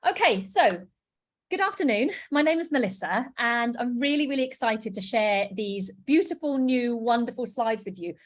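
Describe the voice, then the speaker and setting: A woman's voice: on the high side, lively intonation, normal speed. A British woman in her thirties from the UK, giving an enthusiastic online business presentation over a video call. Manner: enthusiastic, upbeat, gushing.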